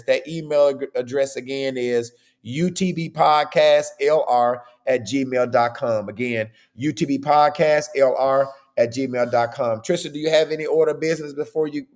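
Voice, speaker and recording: A man hosting a podcast in a casual, chatty way. His voice is hoarse and worn, and he keeps checking in with "okay?". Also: mid-range voice. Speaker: podcast host.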